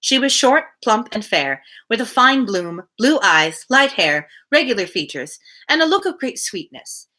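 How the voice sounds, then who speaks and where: A woman with a bright, animated voice reads a classic novel aloud for a livestream. Her delivery is expressive and storylike, with pitch that moves freely, and she slips easily into casual asides. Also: a little slow, hardly any pauses. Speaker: reader hosting a daily livestream.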